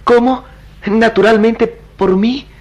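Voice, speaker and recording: A man playing a tender, troubled young gentleman doctor in a radio drama, with an earnest, deliberate delivery. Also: very high voice, steady tone, exaggerated voice. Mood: bewildered. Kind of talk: Latin American radio drama acting.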